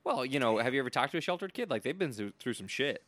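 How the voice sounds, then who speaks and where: A man's voice: mid-range voice, lively intonation, fast, fairly bright tone, no room sound. A man improvising casual banter in a party game as a podcast co-host.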